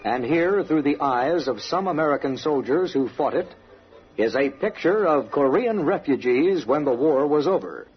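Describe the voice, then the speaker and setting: A man narrating a radio documentary from a script in a solemn, measured manner. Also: fairly high pitch, animated, husky, soft background music. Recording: dull vintage radio broadcast recording.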